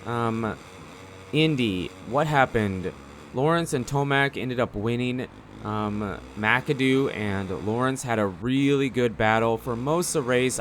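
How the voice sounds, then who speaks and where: A man's voice: fairly low pitch, a little hiss or hum. A man giving casual gameplay commentary in a rambling, conversational, slangy way. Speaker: gaming video commentator.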